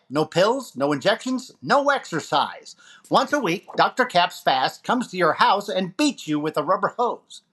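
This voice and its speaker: A man's voice: sits high, fairly bright tone. Man playing a showy jive-talking radio disc jockey. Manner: showy, punchy announcer patter. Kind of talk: 1940s radio comedy acting.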